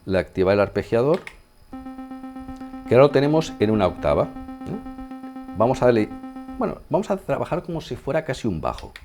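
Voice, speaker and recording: Spanish guy w/ spain accent doing a chatty step by step gear tutorial, soft dark voice, bit of synth in the background. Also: mid-range voice, wildly swooping pitch, normal speed, long pauses, faint music behind. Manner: conversational, explains step by step, checks in with listeners. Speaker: sound engineer demonstrating a synthesizer. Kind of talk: informal video tutorial, explanatory. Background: synthesizer sounds being demonstrated.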